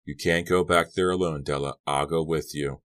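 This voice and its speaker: A calm, supportive teenage boy, voiced by a male narrator. The voice is very deep and reassuring, in audiobook dialogue. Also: moderate pace. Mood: protective.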